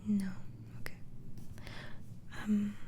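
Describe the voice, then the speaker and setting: A woman whispering in an ASMR roleplay as a doctor interviewing a patient, hesitantly asking questions and repeating answers back in a breathy voice. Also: mid-range voice, completely flat delivery, extremely slow, dark tone, slight background noise. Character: gentle, inquisitive female mental asylum doctor.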